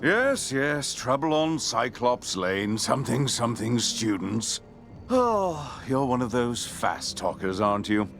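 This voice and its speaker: Game role: haughty, sardonic male professor of magic, theatrical, slow, music underneath. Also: medium pitch, very animated, a bit bright. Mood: weary sarcasm. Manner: condescending, theatrical, deliberate. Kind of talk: scripted video game character acting.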